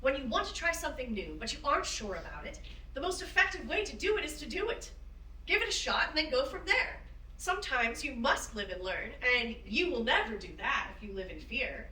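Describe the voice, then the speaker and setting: A woman's voice: high-pitched, sing-song, brisk, bright, forceful tone, full character voice. A woman playing a spirited, encouraging woman aviator in a staged play reading, with an earnest, emphatic manner. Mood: rousing. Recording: roomy, distant microphone.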